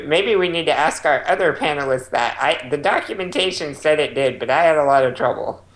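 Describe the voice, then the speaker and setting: A man's voice: a little high, expressive, a little fast, fairly bright tone. A man on a podcast panel speaking in a dry, wry way. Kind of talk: casual panel chat.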